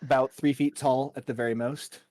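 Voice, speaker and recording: A man narrating a tabletop game as game master in a casual, measured, hesitant way. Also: medium pitch, steady tone, a little mellow, dry recording.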